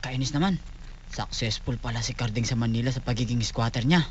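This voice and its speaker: A man's voice: mid-range voice, a little fast, highs cut off, a little hiss or hum, lightly acted. A man playing a scheming, lecherous suitor who coaxes slyly and insistently in a comic radio drama. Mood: jealous plotting. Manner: sly, insistent coaxing. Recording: radio broadcast with background music.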